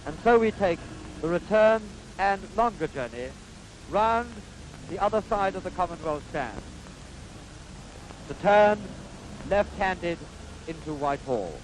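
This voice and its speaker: A man with a Received Pronunciation British accent giving formal, stately 1950s newsreel commentary in measured phrases, on a vintage soundtrack. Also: sits high, animated, a little hiss or hum, poor audio.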